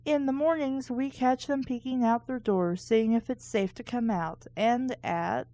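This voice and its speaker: A woman's voice: a little high, unhurried, dark tone. A woman hosting a book reading show, reading aloud casually and breaking off for sarcastic, critical asides.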